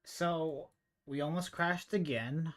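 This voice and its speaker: A man's voice: a little high, takes it slowly, a bit bright, faint music behind. Rambling male game streamer thinking aloud. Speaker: live streamer. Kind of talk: casual gameplay stream commentary.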